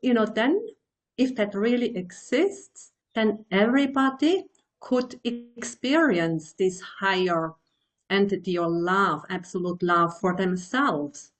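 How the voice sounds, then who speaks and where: Slow, thoughtful woman in her sixties. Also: mid-range voice, rough recording. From Switzerland. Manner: slow, thoughtful, searching for words. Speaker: guest, meditation teacher. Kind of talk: reflective interview answers.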